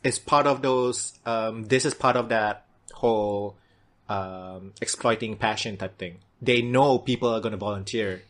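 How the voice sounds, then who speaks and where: A man's voice: fairly low pitch, unhurried, a bit bright. A man hosting a podcast, talking casually and steering the chat with questions. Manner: laid-back, prompting questions.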